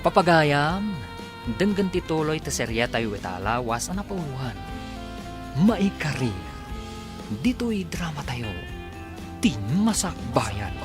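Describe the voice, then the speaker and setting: Animated male radio drama announcer. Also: fairly high pitch, slow pace, long pauses. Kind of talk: scripted dramatic radio narration. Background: music.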